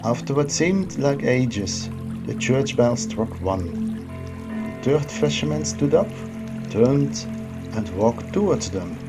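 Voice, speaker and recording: A man telling a story in a slow, scripted narration. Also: medium pitch, big pitch swings. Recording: music and trickling water behind. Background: music, trickling water.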